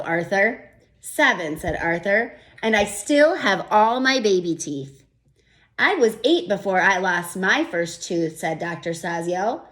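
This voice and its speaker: A woman reading a children's picture book aloud and stopping to explain her thinking, like a teacher. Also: mid-range voice. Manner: gentle, expressive storybook reading with thinking-aloud asides. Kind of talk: children's read-aloud with comments.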